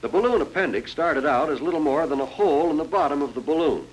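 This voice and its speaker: A man's voice: a little high, animated, fast talker, breathy edge, low-quality recording. A man narrating a 1940s educational film in a formal, instructive, scripted manner. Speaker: narrator of a military training film. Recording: 1940s film soundtrack, faint music.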